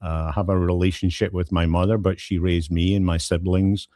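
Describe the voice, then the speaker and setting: A mature Scottish man speaks as a guest in a conversational interview. His voice is low and mellow, with polite, measured phrasing and frequent pauses. The sound is dry and close. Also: little pitch movement, dry recording. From Scotland. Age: middle-aged or older.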